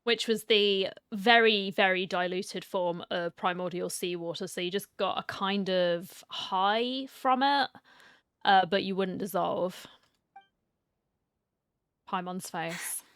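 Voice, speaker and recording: A woman's voice: mid-range voice, fairly even intonation, slow pace, fairly bright tone, smooth, no room sound, clean studio sound. A woman streamer giving casual reaction commentary while playing through a game.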